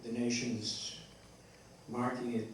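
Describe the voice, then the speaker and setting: Echoey, halting, flat-toned man. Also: mid-range voice. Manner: halting, searching for words, self-correcting. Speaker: playwright discussing his play. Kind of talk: rambling post-show discussion. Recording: echoey room, distant mic, faint noise.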